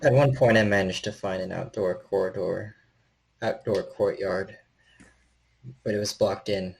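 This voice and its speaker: A man voicing a stocky, gentle, frightened handyman in a tabletop horror game, speaking hesitantly and stammering in a friendly way. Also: deep voice, fairly even intonation, measured pace, creaky, voice pushed down. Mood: weary, earnest.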